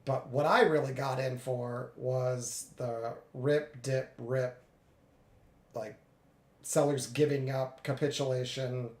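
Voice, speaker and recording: A man getting over a cold, a little congested, narrating his live trades in a relaxed way with many fillers. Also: medium pitch, slow pace, echoey room. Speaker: futures day trader streaming live. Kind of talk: live trading commentary.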